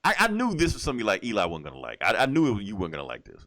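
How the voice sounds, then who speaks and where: Guy talking quick on a comics podcast, super casual, sweary and blunt, voice moves around a lot. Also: fairly low pitch, wildly swooping pitch, pushed and bright. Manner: blunt, profane, slangy. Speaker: comic book podcast host. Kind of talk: casual review chat.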